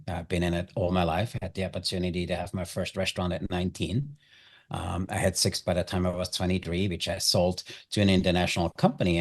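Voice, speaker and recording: A middle-aged man with a distinctly low voice and an Austrian accent, his first language being German. He speaks as a chef being interviewed, sharing stories in a conversational style. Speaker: chef and hospitality guest.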